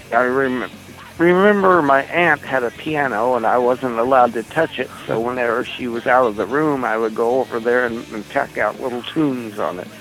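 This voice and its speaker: Thoughtful, soft-spoken man musician. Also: medium pitch, low-quality recording. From Oklahoma, USA. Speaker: guitarist being interviewed. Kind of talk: casual interview chat.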